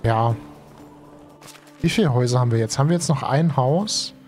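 A man's voice: medium pitch, fairly quick, darkish tone. Brief: young male let's-player, under the weather, loose slangy chatter, headset mic, faint game music underneath. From Germany. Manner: chatty, slangy, thinks aloud with many fillers. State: has a cold and is tired. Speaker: gaming streamer. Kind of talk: casual let's play commentary. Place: home streaming room.

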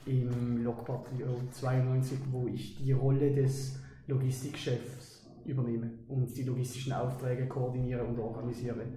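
A man's voice: medium pitch, little pitch movement, moderate pace, mellow, reverberant, slight background noise, rough recording. A young man, answering podcast questions with many hesitations. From Basel, Switzerland. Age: 20s. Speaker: interview guest, army lieutenant. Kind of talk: casual podcast interview. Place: outdoors beside an army vehicle.

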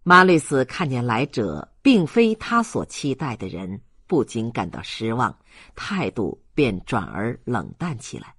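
A man reading a novel aloud as an audiobook narrator, in an expressive literary storytelling style. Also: medium pitch, measured pace, husky, no echo, studio quality.

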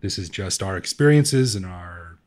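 A man's voice: deep voice, lively intonation, normal speed, a little mellow. A man with a North American accent hosting a podcast, casual and joking, laughing often. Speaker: podcast host. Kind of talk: casual podcast chat and interview.

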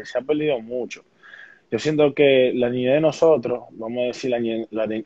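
A young man with a Dominican accent, speaking calmly and measuredly as a baseball player guest in an interview, often restarting his sentences. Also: lowish voice, no room sound. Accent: Dominican Spanish. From Dominican Republic. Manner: calm, measured, with many restarts. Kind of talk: calm conversational interview. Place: small room indoors at home. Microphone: laptop webcam, video call. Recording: dull lo-fi video call audio.

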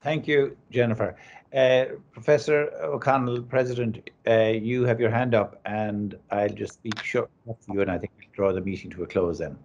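A man chairing a formal webinar over a video call, speaking in a halting, hesitant way. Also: lowish voice, steady tone, darkish tone. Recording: dull, noisy video-call audio.

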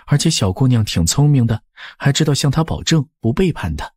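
A male audiobook narrator tells a period story from a script. His voice is husky with widely moving intonation, recorded close and dry. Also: medium pitch, sing-song, a little fast, darkish tone, no echo.

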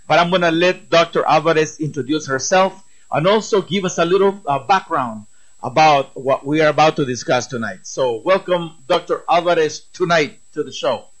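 A man's voice: fairly high pitch, normal speed, poor audio. A man hosting a community radio talk show, making enthusiastic announcements and introducing his guest.

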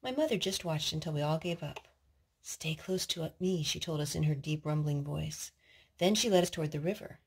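A woman's voice: low-pitched, moderate pace, a little mellow, slightly rough. A woman doing storytime at home, talking simply and instructively to young children as she reads and asks them questions. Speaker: parent reading to and singing with young children. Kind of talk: storytime reading and sing-song talk to small children. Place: living room at home, indoors.

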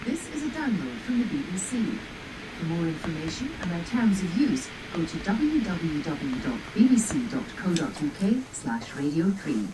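Lady radio announcer, lowish voice, far away speaker playback with noticeable noise. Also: big pitch swings, moderate pace. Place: radio broadcast replayed through speakers.

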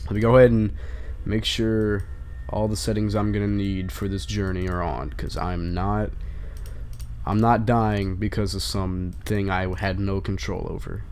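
A young man commentating his own horror game run, stuffy from a flu, swearing often and breaking into angry shouts. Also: fairly low pitch, flat delivery, moderate pace, a little hiss or hum. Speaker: gaming channel host. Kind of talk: casual gaming commentary.

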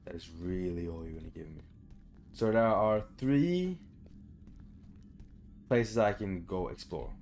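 A young man with a fairly low voice hosts a gaming video. He talks informally and thinks aloud as he plays, with some swearing. Faint music runs behind him. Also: animated, measured pace, pauses often, a little mellow. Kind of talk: casual let's play commentary. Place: small room indoors at home.

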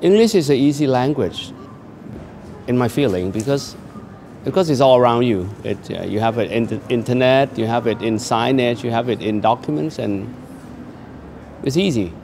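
A man's voice: medium pitch, lively intonation, normal speed, darkish tone, slight background noise. A middle-aged man from Thailand, answering street questions plainly. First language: Thai. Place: city street outdoors.

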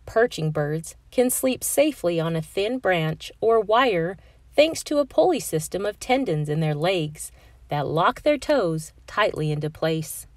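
A woman's voice: fairly low pitch, expressive, measured pace, dry recording. A woman narrating a children's picture book as a scripted read-aloud.

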